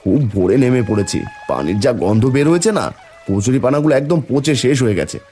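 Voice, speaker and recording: A man's voice: medium pitch, expressive, fast talker, dark tone, soft background music, natural voice. A man playing an earnest, fretful, hardworking village father in a cartoon family drama. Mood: hopeful, determined.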